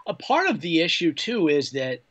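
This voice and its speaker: An American man, a sportswriter guest, talking through college football analysis in a measured, conversational way. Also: a little high, sing-song, fairly bright tone. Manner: measured, reasoning through points.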